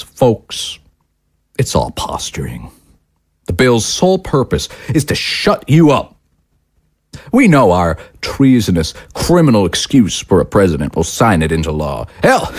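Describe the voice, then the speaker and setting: Actor as a fiery, swooping political radio commentator. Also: male voice, medium pitch. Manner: indignant, emphatic oratory with dramatic pauses. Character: fiery right-wing political radio commentator, adult man. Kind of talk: scripted political broadcast monologue.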